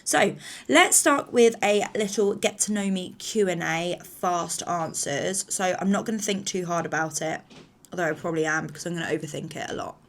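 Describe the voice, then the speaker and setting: A woman's voice: lowish voice, moderate pace. A woman in her thirties from England, chatting casually to camera in a rambling, slightly nervous way with lots of fillers. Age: 30s. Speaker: vlogger.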